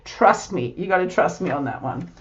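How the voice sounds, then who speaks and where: A woman with an Australian accent, hoarse from a cold, explaining a card-making project step by step with a chatty, laughing manner. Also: lowish voice, expressive, normal speed, muffled recording, a little hiss or hum. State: hoarse, losing her voice. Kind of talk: crafting tutorial narration. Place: home craft studio, at a table.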